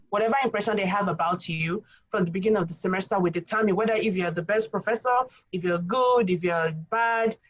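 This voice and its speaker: A woman from Nigeria with a Nigerian accent, a college English instructor telling stories earnestly in a podcast roundtable, with many fillers. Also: mid-range voice.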